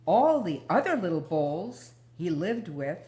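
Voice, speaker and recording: A woman's voice: fairly low pitch, very animated, measured pace, warm tone, slight background noise. An older woman reading a children's picture book aloud as a guest storyteller.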